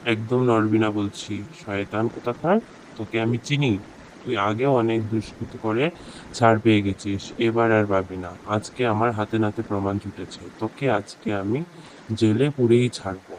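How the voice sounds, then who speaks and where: An earnest, resolute man from a horror cartoon, acted by a man, speaking emphatically. The voice is on the low side, with slight background noise in the recording. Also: fairly even intonation, moderate pace, lightly acted, poor audio. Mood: angry, threatening. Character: earnest, resolute men of a horror cartoon: heroes, villager, policeman. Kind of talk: cartoon dialogue acting.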